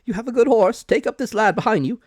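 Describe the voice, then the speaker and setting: Hearty blustery officer for an audiobook, way up high and loud, barking it out. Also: male voice, dry recording, studio quality. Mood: commanding. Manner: emphatic, brisk, exclamatory. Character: blustering, hearty revenue officer, man in authority. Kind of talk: dramatic audiobook character voice.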